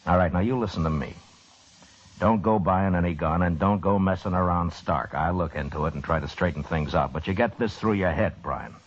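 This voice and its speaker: An actor playing a steady, stern U.S. marshal with dry humor and a laconic, firm manner in an old time radio western. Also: male voice, sits low, fairly quick, slightly rough. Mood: stern, commanding. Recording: muffled, faintly noisy old radio recording.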